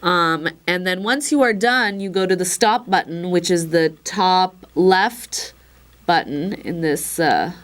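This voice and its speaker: Slightly hoarse woman with a lowish voice, informal how-to demo presenter. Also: slow. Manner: chatty and informal, with many fillers and wry asides. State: a little hoarse. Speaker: instructor demonstrating a recorder. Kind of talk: casual product demo.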